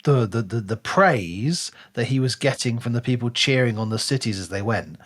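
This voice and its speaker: A man's voice: medium pitch, lively intonation, normal speed. Man chatting as a podcast co-host. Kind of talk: casual book discussion.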